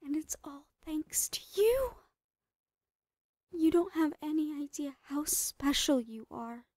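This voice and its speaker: A woman's voice: sits high, animated, slow, dark tone, dry recording, clean studio sound. A woman playing a psychotic female doctor who dotes obsessively on a captive, cooing and slipping into whispers in a horror roleplay. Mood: creepily soothing.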